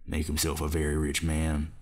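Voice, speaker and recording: A man acting a grave backwoods father of about fifty in an audio drama. The voice sits extremely low and hardly moves in pitch. He is terse and plain-spoken, with quiet intensity. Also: completely flat delivery, normal speed. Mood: gruff.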